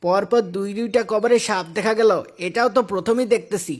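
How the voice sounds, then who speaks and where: A man playing a frightened, grumbling young village gravedigger in a cartoon tale, excitable and complaining. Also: sits high, fairly quick, natural voice. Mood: uneasy. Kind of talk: Islamic moral cartoon story acting.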